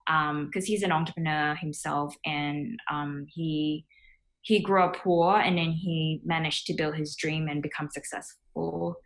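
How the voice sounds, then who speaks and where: Young female founder from Thailand, lower voice, casual guest on a video call. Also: steady tone, a little slow. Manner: casual, many fillers like "um" and "you know". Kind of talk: interview answers.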